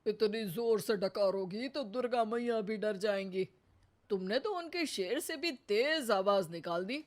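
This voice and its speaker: Casting: woman to play elderly henpecked husband, sarcastic, teasing, wry mocking delivery, slow-paced, cartoon family tale. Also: mid-range voice, lively intonation, close to an everyday voice. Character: teasing, sarcastic old father-in-law.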